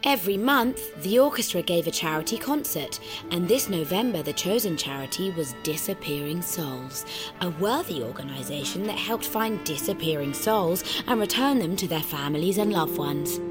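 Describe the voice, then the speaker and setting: An actress voicing a coolly smug, controlling disembodied guide, precise and arch, narrating and coaching in an audio drama. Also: female voice, fairly low pitch, animated, moderate pace, over music, lightly acted. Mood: grandly narrating. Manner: precise, arch, grandiose, instructing.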